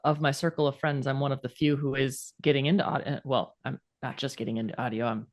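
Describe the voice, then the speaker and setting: A woman author hosts a relaxed conversation. She talks with open enthusiasm and often drops in quick "yes" and "yeah" as she agrees. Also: rumbling low. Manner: warm, enthusiastic, full of affirming "yes" and "yeah". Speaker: author and show host. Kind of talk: casual interview chat.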